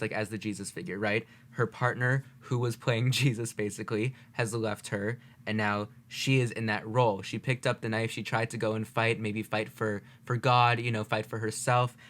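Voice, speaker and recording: A man's voice: fairly low pitch, fairly even intonation, normal speed, fairly bright tone. A man giving casual, analytical reaction commentary, explaining his points articulately in a conversational way. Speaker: video host, music reactor.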